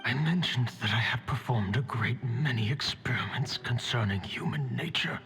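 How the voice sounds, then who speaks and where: Guy acting as a solemn brooding scholar, formal and breathy, game dialogue with music under it. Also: mid-range voice, fairly bright tone, natural voice. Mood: grave. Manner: measured, formal, grave. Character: solemn, grieving scholar, coldly philosophical, fatherly manipulator.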